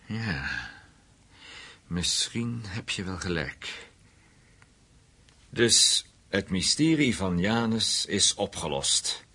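An actor playing a shrewd, sceptical intelligence officer in a radio drama, with a measured, probing, dry manner. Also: male voice, low voice, lively intonation, bright, forceful tone, breathy edge, full character voice. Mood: resigned, dry.

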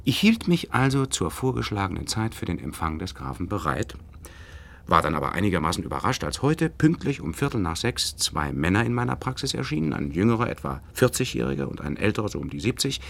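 A man's voice: on the low side, big pitch swings, a little fast, gravelly, a bit bright, heavily acted. A man in a German radio play, playing an educated, polite nerve doctor who speaks formally and a little hesitantly. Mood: measured recounting. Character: educated male nerve doctor, courteous, measured, mildly sceptical. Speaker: actor in a radio drama. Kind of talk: German radio play acting, recounting and dialogue.

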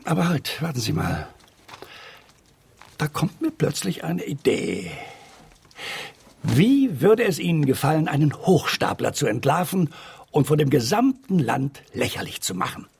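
Gruff, very raspy blustering editor. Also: male voice, fairly high pitch, sing-song, unhurried, pauses often, a little mellow, heavily acted. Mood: scheming. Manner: exasperated, pompous, opinionated, stammering in thought. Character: gruff, blustering middle-aged male newspaper editor. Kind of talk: German radio drama acting.

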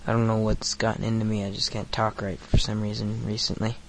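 Teen boy podcast host, low flat delivery, crude rambling rant, light background hiss. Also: a little fast, creaky. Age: teens. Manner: crude, profane, rambling with many fillers. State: recovering from hoarseness. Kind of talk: casual solo podcast rant.

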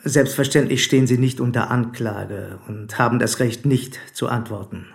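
An actor playing a probing, formal police commissioner in a German radio play, speaking deliberately and insistently. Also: male voice, mid-range voice, steady tone, vocal fry, lightly acted. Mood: stern, measured.

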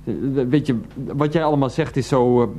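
An actor playing an evasive, petty, defensive husband in a Dutch radio play. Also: male voice, mid-range voice, fairly even intonation, brisk, mellow, natural voice. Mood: exasperated.

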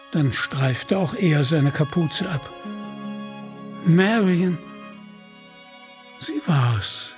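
A man with a husky voice narrating an adventure tale for a radio play in a measured, expressive storytelling manner. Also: fairly high pitch.

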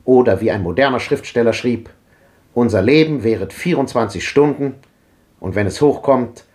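An actor playing a fervent old visionary philosopher, delivering grand rhetorical speeches in a 1950s radio drama. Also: male voice, medium pitch, moderate pace, darkish tone, close to an everyday voice. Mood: declaiming. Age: older. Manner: declamatory, impassioned, rhetorical.

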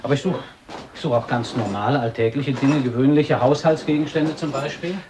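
A man acting an earnest, reflective adventurer in a radio drama, speaking in a measured, introspective way. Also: mid-range voice, background noise, lightly acted. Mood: earnest, thoughtful. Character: earnest, reflective male adventurer setting out for the Pole.

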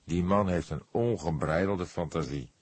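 A man voicing a calm, measured, wry old police inspector in an audiobook. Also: sits low, breathy edge. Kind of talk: audiobook character dialogue.

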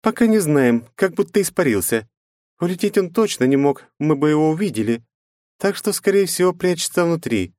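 A brisk, tough female fighter, as a man voices her higher, teasing and bossy, with a lively rising and falling delivery. the recording is close and dry. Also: no echo. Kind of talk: audiobook character voice.

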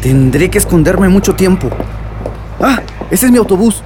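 An actor playing a scheming, cornered fugitive in a radio drama, muttering to himself, irritable and coarse. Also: male voice, high voice, animated, normal speed, background noise. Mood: agitated, scheming.

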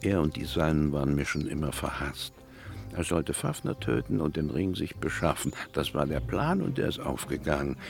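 An actor playing the secret inner voice of a murderous dwarf in a fantasy radio play, sinister, gloating and contemptuous. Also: male voice, rumbling low, expressive, moderate pace, vocal fry, faint music behind, full character voice. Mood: sly gloating.

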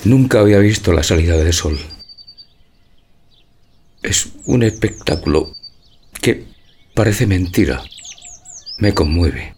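An actor playing a gentle, naive, melancholy older husband in a theatrical radio drama, speaking tenderly and deliberately. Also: male voice, low voice, expressive, slight background noise. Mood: dreamy, moved. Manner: tender, poetic, deliberate delivery.

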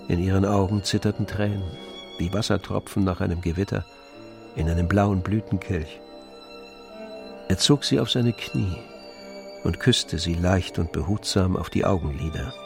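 A man narrating a literary radio drama in a measured storytelling manner. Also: sits low, vocal fry. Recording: dry studio, music behind.

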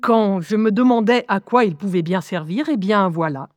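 A woman playing a lively young schoolgirl who narrates her life in a wry, confiding, expressive way in an audio drama. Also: medium pitch, moderate pace, no room sound, clean studio sound, natural voice. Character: lively eleven-year-old schoolgirl recounting life with inner voices.

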